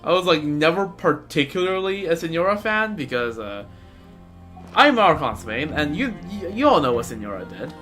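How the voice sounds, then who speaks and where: A man doing casual live game commentary as a streamer, rambling and halting as he repeats words. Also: on the high side, very animated, sharp tone. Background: game music.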